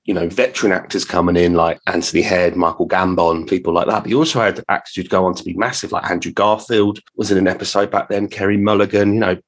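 Fairly low-voiced British man interviewing. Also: steady tone, fast talker, few pauses. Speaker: podcast host, interviewer. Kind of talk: casual interview.

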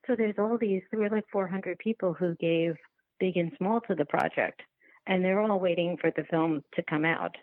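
A woman speaking casually as an interview guest, halting and restarting her sentences, over a thin phone-like line. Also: fairly low pitch, no room sound. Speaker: interview guest, documentary filmmaker.

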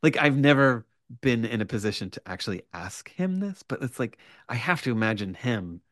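A man chatting casually as a writer guest on a podcast, in halting bursts with repeated starts and asides. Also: medium pitch, animated, fairly bright tone, dry recording, clean studio sound. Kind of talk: animated casual interview chat.

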